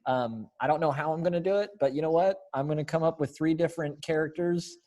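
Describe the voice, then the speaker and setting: A man's voice: fairly high pitch, fast talker, warm tone. Encouraging young man coaching casually. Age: young adult. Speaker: readers theater director. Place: indoor room by window, video call.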